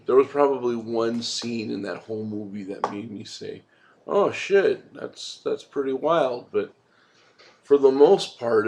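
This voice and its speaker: Male vlog host, mid-pitched, laid-back and informal, talking movies off the cuff. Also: creaky, darkish tone. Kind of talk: casual rambling movie review vlog.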